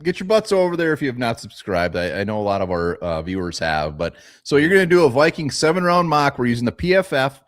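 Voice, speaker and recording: A male co-host talks at a medium pitch in a casual, upbeat way. He jokes with his guests and cheers them on. His energy stays high through his lines. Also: very animated, fast talker, hardly any pauses. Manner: upbeat, joking, hypes guests. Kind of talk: casual live show chat.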